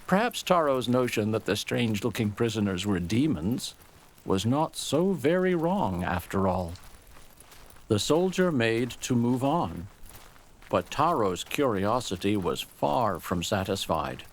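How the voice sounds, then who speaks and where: A man narrating a scripted story expressively, with deliberate, dramatic phrasing. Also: on the low side, sing-song.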